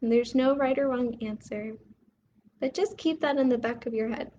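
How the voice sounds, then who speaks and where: A woman hosting a bedtime read-aloud for young children, expressive and putting questions to her listeners. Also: fairly high pitch, moderate pace, dull audio, slight background noise. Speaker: children's story reader and host.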